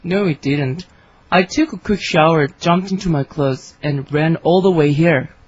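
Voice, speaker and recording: A woman reading her part in a scripted English conversation for learners, with clear, careful delivery. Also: low-pitched, a little slow. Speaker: dialogue reader for English learners. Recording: dry, slightly dull studio recording.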